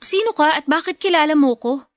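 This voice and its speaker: A woman's voice: sits high, a little fast, no room sound, clean studio sound, natural voice. A woman with a Filipino accent playing a resentful, wounded young adult daughter in a radio drama, confrontational and accusing. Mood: startled, suspicious.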